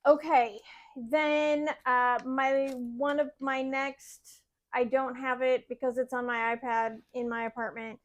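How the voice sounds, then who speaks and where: A woman with a slightly high voice talking through her project list in an unhurried way. She sounds excited and a little scattered, filling pauses with "uh" and "like." It is an easygoing co-host chat. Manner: excited, scattered listing with fillers. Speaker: podcast co-host. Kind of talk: casual chat about cross-stitch projects.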